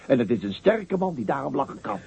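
An actor playing a pompous, anxious small-town mayor in a radio play, who speaks theatrically with a raspy voice. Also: male voice, medium pitch, sing-song, fast, a little mellow, exaggerated voice. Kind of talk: radio drama acting.

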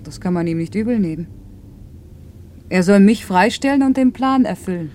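A woman's voice: medium pitch, animated, moderate pace, mellow, natural voice. An actress playing a reserved, stubborn female factory worker in a radio play, terse and plain-spoken. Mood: defensive, subdued. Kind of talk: naturalistic German radio play dialogue.

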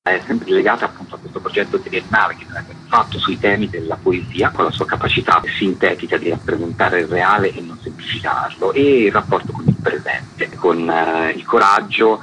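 A man's voice: low voice, brisk, hardly any pauses. A man giving wordy, spontaneous explanations as a festival organiser being interviewed. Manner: wordy, repeatedly uses a filler word. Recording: clearly noisy background.

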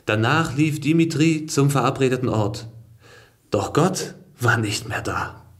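An actor playing a proud, idealistic imprisoned revolutionary man in a German radio play, speaking earnestly and deliberately. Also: medium pitch, expressive, close to an everyday voice. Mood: earnest, fervent.

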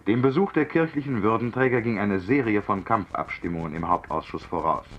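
A man's voice: fairly low pitch, fairly quick, highs cut off, a little hiss or hum. A man reading a formal scripted radio news report, recorded with a band-limited sound.

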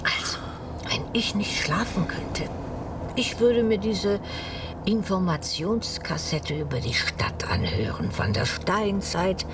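A woman's voice: animated, slow pace. An actress playing a talkative, wry old German-born American grandmother and widow in a radio drama. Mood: motherly, amused. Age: older. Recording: noisy, distant, vehicle rumble behind.

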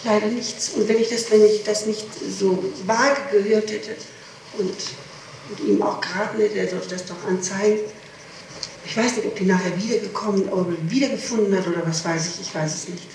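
A middle-aged woman answering questions in an interrogation, halting, repeating words and breaking off her sentences. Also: mid-range voice, steady tone, a little mellow. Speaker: person questioned in a murder investigation. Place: echoey room, away from the microphone. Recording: echoey, noisy, distant 1960s tape recording.

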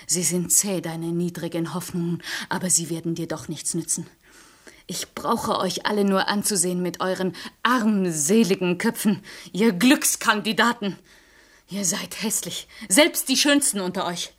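An actress playing a defiant young princess in a classical radio drama, declaiming with intense contempt. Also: female voice, mid-range voice, natural voice. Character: defiant, scornful young princess who demands everything or death.

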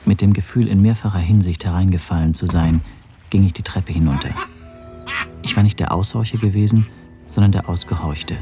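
An actor narrating a radio drama as a rueful man recalling events in a measured, introspective way. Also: low voice, warm tone, faint music behind. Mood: reflective, rueful. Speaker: first-person narrator.

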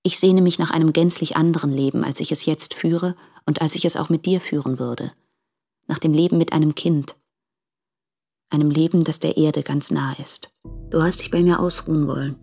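A frank, anguished married woman in a literary radio drama. Her voice is low and husky. She speaks earnestly and deliberately, as if confiding in the man she loves. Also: darkish tone, exaggerated voice.

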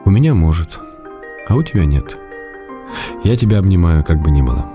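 An actor playing a middle-aged Russian writer, tender and wry, reading love letters aloud in a radio play. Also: male voice, low-pitched, animated. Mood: tender, earnest. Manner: affectionate, gently teasing, measured. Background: music.

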